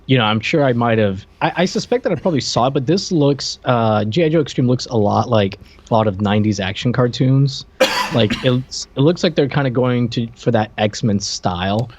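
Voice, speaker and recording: A man's voice: medium pitch, normal speed, slight background noise. A man co-hosting a podcast, chatting informally and thinking aloud in a hesitant way. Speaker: podcast co-host. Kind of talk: casual media review chat.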